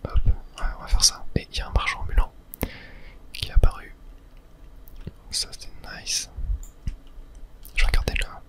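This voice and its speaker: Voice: normal speed, long pauses, fairly bright tone. A video host gives ASMR gaming commentary entirely in a whisper. The delivery is casual and unplanned, murmuring each thought aloud and dotting it with tiny filler words.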